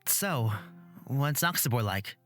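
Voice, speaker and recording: A polite young fantasy traveler, played by a woman, speaking gently and earnestly with small hesitations. Faint game music plays behind the voice. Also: very deep voice, animated, takes it slowly, voice pushed down, full character voice. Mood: curious.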